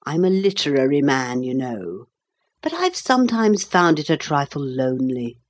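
A woman voicing a polite, cordial, self-important gentleman jailer with literary airs in a storybook. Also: deep voice, very animated, normal speed, husky, full character voice. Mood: chatty, self-satisfied.

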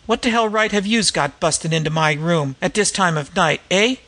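A man's voice: high-pitched, moderate pace, gravelly, pushed and bright, exaggerated voice. A man putting on a screeching slum hag. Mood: scornful. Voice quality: screeching, cackling. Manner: caustic, coarse street talk. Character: woman disguised as a shrill slum hag.

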